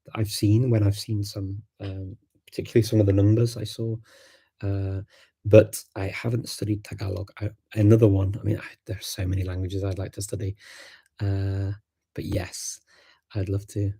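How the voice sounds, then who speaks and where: A low, gravelly male voice with a mellow, slightly husky tone. He is a livestream host whose first language is Macedonian. He chats easily, thinking aloud and restarting his sentences often. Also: level tone, moderate pace. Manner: chatty, thinks aloud with many ums and restarts. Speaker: livestream host answering viewer questions. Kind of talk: casual live chat.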